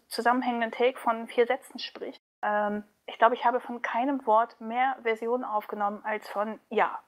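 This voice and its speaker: A woman speaking casually as an interview guest, chatty and animated, like a voice actress talking about her work. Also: on the high side.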